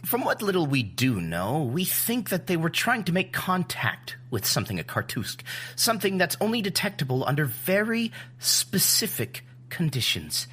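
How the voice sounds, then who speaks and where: An actor playing an earnest, cerebral scientist in an audio drama, explaining deliberately and searching for simple words. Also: male voice, a little high, huge pitch swings, pushed and bright, slightly rough, heavily acted. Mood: grave, awed. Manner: deliberate, groping for simple analogies. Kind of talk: naturalistic audio drama acting.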